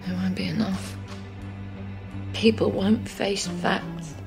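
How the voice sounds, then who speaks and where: A woman's voice: medium pitch, fairly even intonation, takes it slowly, over music. An actress playing a desperate, bitter British woman official in a dramatic video game. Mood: resigned, grave.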